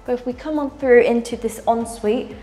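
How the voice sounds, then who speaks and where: Casting: young woman, bright enthusiastic property-tour host, lapel mic, music under. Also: on the high side, normal speed. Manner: enthusiastic, walking and gesturing while talking. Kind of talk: upbeat house tour narration. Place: large furnished house indoors.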